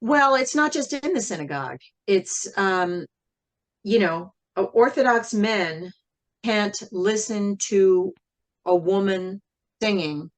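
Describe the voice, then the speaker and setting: A woman talking reflectively as an interview guest, thoughtful and measured, with frequent pauses. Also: mid-range voice, expressive. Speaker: interview guest, singer and writer.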